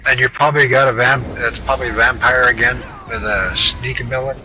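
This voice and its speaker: A soft-spoken man with a mid-range voice joins a casual gaming chat as a participant. His voice comes through a noisy line with clear hiss behind it. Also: mid-range voice, steady tone, fast. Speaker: workshop participant.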